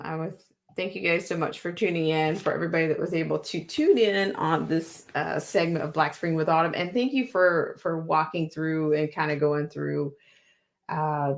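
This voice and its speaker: A woman hosting a live stream, thanking viewers in a loose, rambling way with many fillers. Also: low-pitched. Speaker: livestream host. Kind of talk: casual live stream sign-off.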